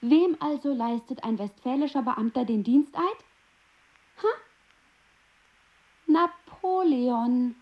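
An actress playing a sharp-witted wife in a radio play, lecturing her husband reproachfully on politics. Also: high-pitched, takes it slowly, long pauses, muffled recording.

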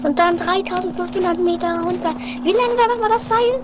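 A woman playing an excitable, anxious wife in an improvised amateur comedy radio play, chatty and theatrical. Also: very high voice, brisk. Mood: worried. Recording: noisy 1980s amateur recording.

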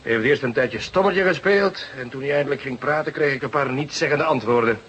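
A man's voice: medium pitch, a little fast, fairly bright tone, dull audio, a little hiss or hum, close to an everyday voice. A man acting an eager, exasperated young police detective in a radio play, theatrical, sarcastic and emphatic. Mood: frustrated.

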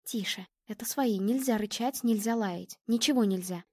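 A woman's voice: on the high side, expressive, a little fast, warm tone, dry recording, studio quality. A woman voicing a stubborn young girl who pleads and protests emphatically in a story. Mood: anxious whispering.

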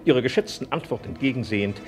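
An actor playing a pompous, hypocritical arms manufacturer in a satirical radio play, businesslike and oily. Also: male voice, medium pitch, animated, quick pace, a little mellow, faint music behind, natural voice. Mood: pompous, unctuous. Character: gleeful, hypocritical arms manufacturer dictating a sales letter.

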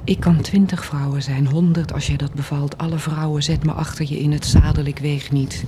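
A woman acts a soldier's devoted mistress in a radio drama, pleading and defiant by turns. She is proud and desperate, and clear noise runs through the recording. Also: deep voice, fairly even intonation, fairly quick, lightly acted. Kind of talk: theatrical radio drama acting.

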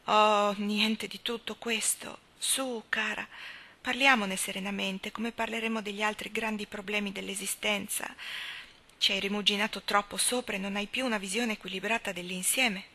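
A woman reading a story as a gentle, caring young woman who reasons soothingly with her friend. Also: medium pitch, steady tone, moderate pace. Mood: reassuring.

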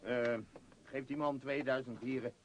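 A man's voice: mid-range voice, steady tone, unhurried, dull audio, a little hiss or hum, natural voice. An actor in a radio play voicing a domineering, self-pitying business magnate, blustering and muttering as he gives orders. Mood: grumbling. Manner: blustering, commanding, muttering hesitations.

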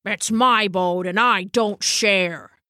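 A woman doing a gruff, greedy old dog. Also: mid-range voice, slow, sharp tone, dry recording, studio quality. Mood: grumpy. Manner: gruff and growling.

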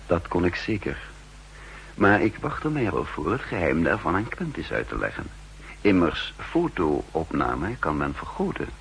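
An actor playing an older, wry and condescending spy chief who also narrates in the first person, in 1960s radio drama style. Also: male voice, low-pitched, huge pitch swings, unhurried, creaky, fairly bright tone, full character voice. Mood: brooding, confiding. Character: aging spy chief and cryptographer, wry, secretly scheming. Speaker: narrator and lead character. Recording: humming, noisy 1960s radio recording.

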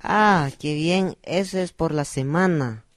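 A man's voice: a little high, takes it slowly, no echo, clean studio sound. A young man with a Guatemalan accent acting a polite, needy young villager new to the city in an amateur radio drama. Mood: worried. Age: young adult.

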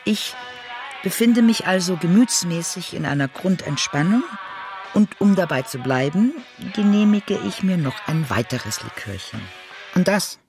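A woman's voice: lowish voice, a little mellow. An actress playing a tipsy woman who recounts her evening alone in a radio drama monologue.

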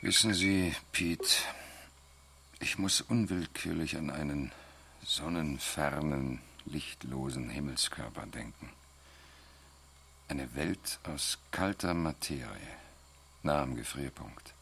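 An actor playing a thoughtful, deliberate male investigator in a science fiction radio drama. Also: extremely low pitch, gravelly, a bit bright, slight background noise, heavily acted. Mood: pensive. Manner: pensive, deliberate. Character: thoughtful, speculating male investigator musing on alien mysteries.

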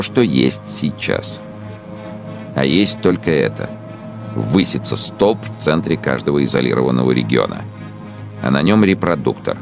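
An actor playing a cold, imperious ideologue in a radio drama monologue, speaking with deliberate, commanding delivery. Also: male voice, a bass voice, vocal fry, exaggerated voice. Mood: coldly emphatic. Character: cold, imperious ideologue musing on ruling conquered people. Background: faint music.

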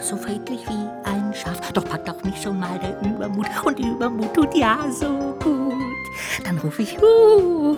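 A woman playing a playful, naive little ghost in a children's radio play, cheeky and childlike. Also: on the high side.

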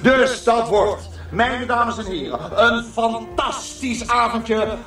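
A man playing an oily, grandiose showman, hosting a doomsday dinner show with drawn-out, jokey patter in a radio play. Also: very high voice, full character voice. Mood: showy, jovial. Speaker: master of ceremonies. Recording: echoey hall, distant mic, loud music, crowd.

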